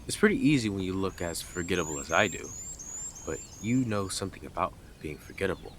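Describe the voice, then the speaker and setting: A man's voice: low-pitched, expressive, brisk, slight background noise. A man playing a blunt, sarcastic, teasing stalker who is devoted to the listener in an intimate roleplay audio drama. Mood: smug, mocking.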